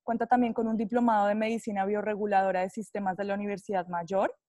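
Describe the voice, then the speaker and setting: A woman's voice: medium pitch, flat delivery, fast talker, dark tone, no room sound, clean studio sound. A woman hosting a podcast, enthusiastically reading her guest's background and asking questions. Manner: enthusiastic, reads guest bio. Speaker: podcast host. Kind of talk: podcast introduction and interviewing.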